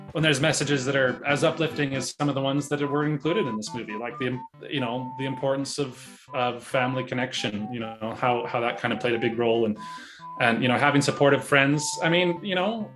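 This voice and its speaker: A man's voice: mid-range voice, poor audio. A man co-hosting a casual movie review, talking in a hesitant, rambling way and often correcting himself. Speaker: podcast co-host reviewing a movie. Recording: music behind the voice.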